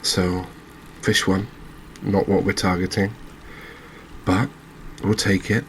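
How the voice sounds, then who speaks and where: Deep-voiced man, quiet ASMR gaming commentary. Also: little pitch movement, slow, a little hiss or hum, low-quality recording. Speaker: host of a gaming channel. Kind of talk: soft-spoken ASMR game commentary.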